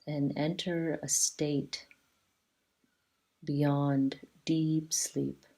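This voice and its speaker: Very low, slow, dark-voiced woman guiding relaxation. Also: little pitch movement, poor audio. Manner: soothing, measured, with long pauses between short phrases. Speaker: yoga nidra teacher. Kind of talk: guided relaxation meditation.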